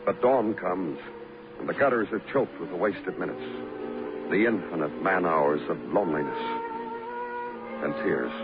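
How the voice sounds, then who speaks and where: An actor playing a hardened, moody New York homicide detective, narrating poetically and deliberately in a 1950s radio crime drama. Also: male voice, deep voice, animated. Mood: brooding. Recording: muffled old radio recording with music.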